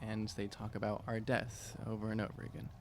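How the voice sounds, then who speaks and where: A man chatting casually as a tabletop game player, joking in a deadpan way. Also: on the low side, fairly quick, a little hiss or hum. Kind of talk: casual improvised game chat.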